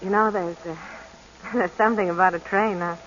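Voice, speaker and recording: A woman's voice: fairly low pitch, fairly even intonation, normal speed, a bit bright, slight background noise, natural voice. An actress playing an affectionate, spirited young American woman in love, in the style of 1940s radio drama. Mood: tender. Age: young adult. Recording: dull old radio recording with music behind.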